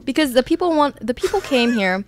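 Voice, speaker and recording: A woman's voice: high voice, very animated, brisk. A young woman hosting a casual podcast chat, teasing her co-host in a chatty way with lots of fillers. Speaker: guest host.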